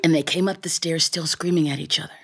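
A woman telling a folk tale live in an animated, theatrical way, drawing out key words and speaking straight to her listeners. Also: low-pitched, fast, gravelly, slightly rough. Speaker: storyteller. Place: recorded live in a studio.

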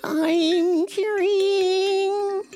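A woman storyteller voicing a greedy little female cat from a folktale, singing in long drawn-out notes. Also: very high voice, fairly even intonation, warm tone, faint music behind, voice pushed up, full character voice. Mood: possessive, gleeful.

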